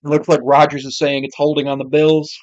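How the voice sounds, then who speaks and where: An amateur commentator giving casual live football play-by-play, with muttered asides and self-corrections, sex not clear from the voice. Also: mid-range voice, flat delivery, a little fast, a little mellow, lo-fi sound.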